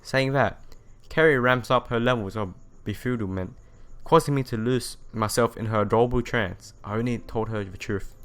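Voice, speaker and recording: A man's voice: mid-range voice, normal speed, a bit bright, smooth. A man reading visual novel narration aloud as a let's player, telling it expressively and sometimes stumbling and rereading phrases. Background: music.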